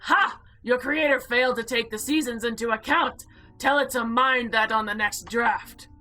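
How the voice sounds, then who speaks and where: A woman's voice: fairly high pitch, moderate pace, sharp tone, soft background music. A woman voicing a haughty, predatory female monster in a visual novel, speaking in formal, imperious, archaic phrases. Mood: mocking.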